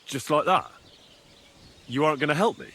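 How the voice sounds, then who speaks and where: An actor playing a young, earthy blacksmith's lad turned adventurer, plain-spoken and questioning, in video game dialogue. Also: male voice, a little high, animated, moderate pace, a bit bright, husky, full character voice. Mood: doubtful.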